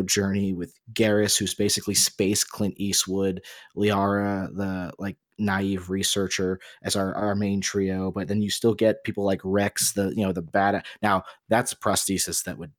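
A man's voice: sits low, dry recording. A man co-hosting a casual, opinionated discussion, explaining his points at length in a rambling, emphatic way. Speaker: podcast co-host.

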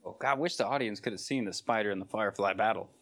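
A man's voice: fairly low pitch, rapid-fire, a bit bright. A man in his twenties on a casual podcast, blunt and crude in his jokes. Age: 20s. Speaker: podcast co-host. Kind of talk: casual chat.

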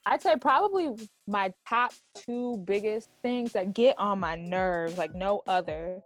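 A young woman co-hosting a podcast, chatting casually with friends, animated and slangy, laughing often. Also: mid-range voice, measured pace, faint music behind. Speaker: podcast co-host. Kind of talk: casual chat among friends.

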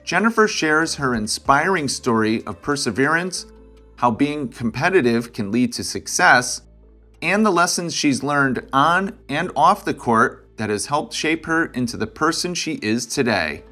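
A man from Connecticut hosting an interview show, reading a polished, upbeat introduction and then asking relaxed questions. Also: on the high side, a little slow, faint music behind. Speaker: podcast host. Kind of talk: interview host with scripted intro.

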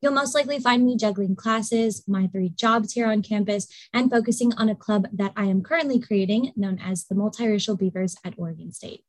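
A young woman from Hawaii and Nevada, a student guide, introducing herself with bright confidence. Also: mid-range voice, brisk, few pauses, dry recording, low-quality recording. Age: young adult. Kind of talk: casual self-introduction.

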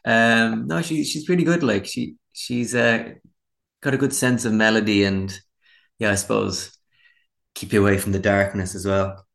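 Irish male musician in interview, lowish voice, candid and rambling, casual conversational delivery. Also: fairly even intonation, moderate pace, gravelly, fairly bright tone. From Dublin, Ireland. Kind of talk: casual chat.

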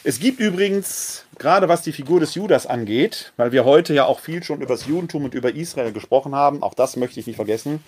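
A man preaching a calm, reflective sermon in a church video podcast, speaking. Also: on the high side, quick pace, few pauses.

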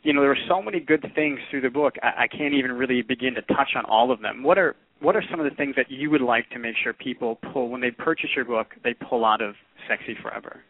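A man hosting a conversational radio interview, speaking haltingly and repeating words as he goes. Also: medium pitch, no room sound. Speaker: podcast interviewer. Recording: dry, tinny phone-like radio audio.

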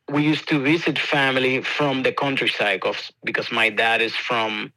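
Dominican musician, male, Spanish-accented English, bright forward voice, relaxed, dry close sound. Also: mid-range voice, unhurried, dry recording, poor audio. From Dominican Republic. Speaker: musician guest. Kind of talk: casual interview answers.

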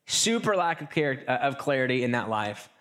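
A man preaching a sermon in a relaxed, conversational way, often restarting phrases and slipping into quick acted asides. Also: mid-range voice. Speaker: pastor.

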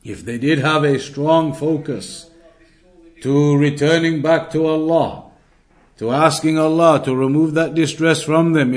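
A man giving an Islamic lesson as a religious teacher, explaining in an instructive way and restating points for emphasis. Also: fairly high pitch. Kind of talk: Islamic lesson, reading Arabic text and explaining it.

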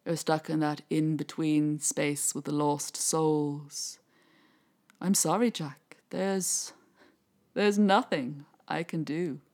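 A woman voicing a sly, courteous male devil who speaks slowly with mock sympathy. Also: low-pitched, fairly even intonation, darkish tone. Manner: slow, smooth, mock-sympathetic.

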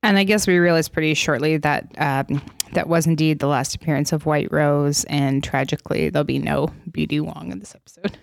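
A woman's voice: low voice, fairly even intonation, brisk, gravelly, no echo. A woman in her thirties co-hosting a podcast, a comedian with a dry, wry manner in casual chat. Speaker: podcast co-host, stand-up comedian.

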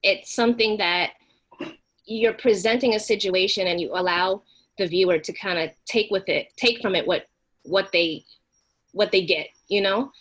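A woman's voice: medium pitch, normal speed, a bit bright, low-quality recording. A middle-aged American woman giving casual, conversational feedback as an audience member. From United States. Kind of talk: casual spoken feedback at an online play reading.

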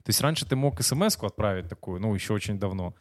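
A man's voice: on the low side, lively intonation, fast. A man in his thirties co-hosts a podcast, chatting casually and teasing his guest. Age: 30s. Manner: talkative, teasing. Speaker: podcast co-host.

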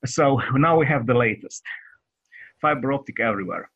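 Halting Romanian-accented man, husky voice. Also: medium pitch, expressive, a bit bright, no echo, lo-fi sound. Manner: halting, many hesitations and restarts. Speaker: guest developer. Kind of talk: interview answers.

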